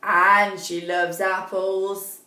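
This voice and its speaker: A woman's voice: medium pitch, little pitch movement, drawn-out words, sharp tone. A woman voicing a small, innocent youngest dwarf, eager and childlike, in a children's story. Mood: admiring, eager. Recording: roomy, distant microphone.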